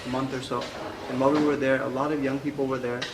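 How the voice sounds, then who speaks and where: A young man answers an interviewer's questions, his voice steady and flat in tone with little melodic movement. He talks in a measured, conversational way, pausing on fillers and restarting phrases. The room rings noticeably, and a clear layer of background noise sits under his voice. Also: medium pitch, echoey room. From Pakistan, raised in the United States. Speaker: interview guest, Quran instructor.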